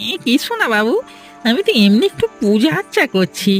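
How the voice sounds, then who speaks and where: A humble, cagey village fisherman, pitched very high with lively swoops. He is poor and deferential, a cartoon figure over faint music. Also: moderate pace. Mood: evasive. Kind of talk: cartoon voice acting.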